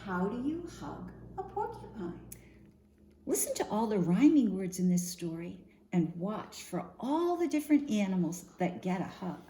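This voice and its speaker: A woman reading a picture book aloud for young children in an expressive, singsong storytime manner, often posing questions to her listeners. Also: medium pitch, normal speed. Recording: roomy echo, faint background noise.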